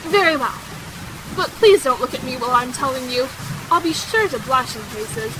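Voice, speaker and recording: High-voiced, chatty young society woman, played by an actress. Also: moderate pace, background noise. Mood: confiding. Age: young adult. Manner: breathlessly talkative, emphatic, dramatic. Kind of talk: audio drama acting, period dialogue. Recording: steady rain sound effects behind.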